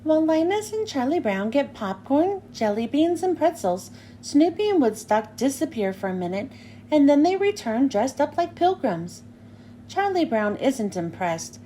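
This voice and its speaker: A woman's voice: high voice, sing-song, moderate pace, mellow, slight background noise. A woman narrating a children's storybook as a read-aloud. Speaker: storybook reader.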